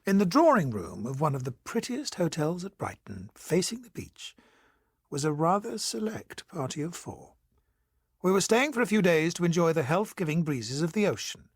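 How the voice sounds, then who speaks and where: Man as husky, expressive gentleman narrator. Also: a little high, no room sound, clean studio sound, heavily acted. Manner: formal, measured storytelling. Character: genteel period colonel recounting events as narrator. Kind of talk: scripted dramatic narration.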